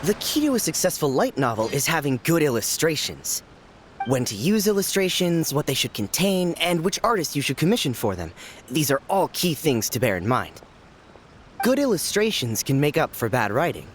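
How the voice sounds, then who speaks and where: A man's voice: on the high side, sing-song, moderate pace, slight background noise. An actor voicing an opinionated man explaining his views on light novels in a video game. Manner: earnest, explaining.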